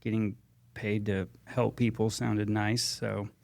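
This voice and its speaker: The American guy with a fry-edged voice on a work podcast, feeling his way through each answer in halting, relaxed conversation. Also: lowish voice. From Texas and Georgia, US. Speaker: guest paramedic.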